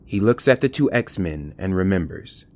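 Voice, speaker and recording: A man's voice: low voice, expressive, moderate pace, darkish tone. A man giving dramatic scripted narration, grave and portentous in delivery. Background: dramatic music.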